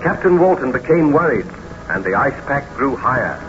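A man's voice: mid-range voice, sing-song, normal speed. A man announcing and narrating a serial in a scripted 1930s radio style. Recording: muffled vintage radio recording with music.

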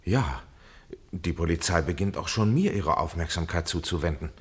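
An actor playing a polite, measured man under police suspicion in a German radio play, with formal phrasing and a husky voice. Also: low-pitched. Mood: uneasy, measured.

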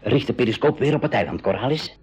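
Decisive, animated submarine captain uncle. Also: male voice, medium pitch, quick pace, slightly rough. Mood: commanding, alert. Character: uncle professor, resourceful captain of a submersible craft. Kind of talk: 1980s school radio play acting. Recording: dull 1980s radio drama recording.

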